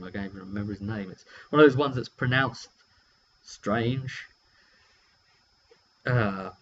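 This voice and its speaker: A British man casually telling a story in an animated, rambling way, drifting into asides as he goes. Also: lowish voice, husky. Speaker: tabletop game player recounting a session. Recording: muffled lo-fi audio with hum.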